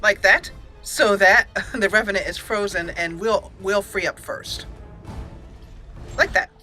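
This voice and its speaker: A woman's voice: mid-range voice, takes it slowly, pushed and bright. A woman hosting a let's play, chatting through her gameplay in an upbeat way and reading the game's text aloud as roleplay narration. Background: faint game music.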